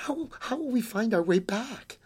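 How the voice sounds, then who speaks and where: A woman's voice: fairly high pitch, animated, normal speed, a little mellow, husky, pitched above the performer's own voice. A woman voicing a frightened little girl in a children's story, polite but pleading and stammering. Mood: worried.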